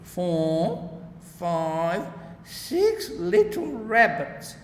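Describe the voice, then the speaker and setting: A man voicing a greedy, gloating old farmer from a storybook, with drawn-out, emphatic exclamations. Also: high voice, creaky, voice pushed up, heavily acted. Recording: echoey room, long reverb, steady background noise.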